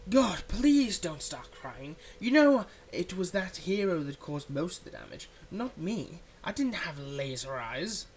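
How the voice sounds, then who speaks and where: A performer playing a theatrical supervillain, menacing yet exasperated and softhearted, in a one-sided ASMR roleplay spoken straight to the listener. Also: medium pitch, very animated, measured pace, few pauses, a little hiss or hum. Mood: uneasy, guilty. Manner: dramatic, swinging between threats and hushed soothing.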